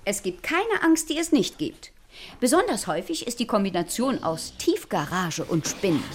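An actress playing a flustered, nerdy expert lecturing children in stilted technical language in a radio play. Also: female voice, mid-range voice, very animated, normal speed, slight background noise, close to an everyday voice. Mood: explaining. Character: flustered, nerdy woman expert teaching children about fear.

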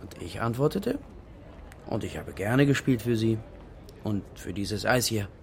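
A man's voice: on the low side, lively intonation, a little slow, creaky, a little hiss or hum. An actor playing a reluctant but tender father in a German children's radio play. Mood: fond reminiscing.

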